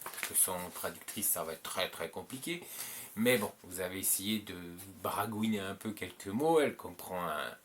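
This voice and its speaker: Low-voiced man giving rambling advice. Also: animated, normal speed, fairly bright tone, a little hiss or hum. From French-speaking. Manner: rambling, repeats himself, many filler "euh"s. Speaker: channel host giving advice. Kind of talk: casual advice monologue.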